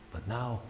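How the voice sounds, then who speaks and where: An actor playing a frail, humble old male tea master, speaking formally and wistfully in a video game. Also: echoey room. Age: older.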